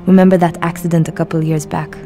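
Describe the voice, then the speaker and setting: Determined female game soldier, acted by a woman: clipped, persuasive, even low delivery. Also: fast talker, soft background music, lightly acted. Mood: insistent. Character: sharp, determined female soldier with bold schemes. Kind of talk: video game cutscene acting.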